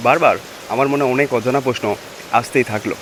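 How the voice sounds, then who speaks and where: A man's voice: medium pitch, steady tone, quick pace, lightly acted. A man playing a village husband in a cartoon ghost story, talking in a dramatic storytelling way, with rain noise behind him. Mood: brooding, uneasy. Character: frightened, brooding village husband in a ghost tale. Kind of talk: Bengali cartoon story acting. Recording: noisy, rain and ambience behind voice.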